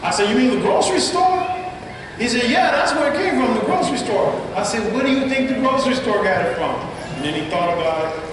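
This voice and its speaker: A man with a high voice chats his way through an anecdote. The recording is distant and very reverberant, with clear noise and ambience around him. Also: animated, a little fast. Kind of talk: casual anecdote telling. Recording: noisy echoey hall playback from far off.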